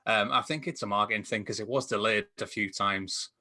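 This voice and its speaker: A man chatting casually as a film review panellist, in a halting way peppered with "like". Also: on the low side, sharp tone.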